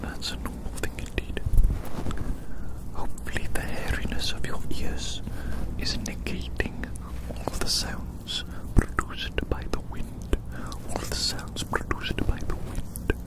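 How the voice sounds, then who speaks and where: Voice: slow, low-quality recording. A performer whispering breathily and close to the microphone in an ASMR roleplay outdoors, repeating phrases soothingly in a whimsical, rambling way.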